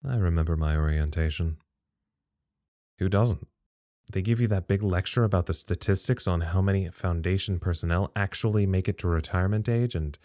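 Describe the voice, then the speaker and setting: An actor playing a weary, wry, stubborn psychologist at a secret facility in an audio drama, musing with dry humor. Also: male voice, sits low, dark tone, dry recording, natural voice. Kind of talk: audio drama acting, reflective monologue.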